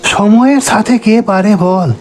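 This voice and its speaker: Woman acting a slow-spoken, concerned friend. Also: mid-range voice, a little mellow, natural voice. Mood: concerned, coaxing. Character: concerned old friend at tea, coaxing. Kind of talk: scripted cartoon story dialogue. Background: music.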